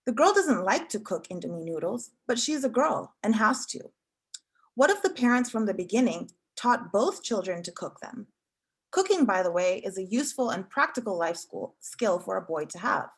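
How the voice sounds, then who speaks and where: A woman reading a prose essay aloud at a literary event in a measured, earnest way. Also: mid-range voice, big pitch swings, slightly rough. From Africa. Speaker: event reader.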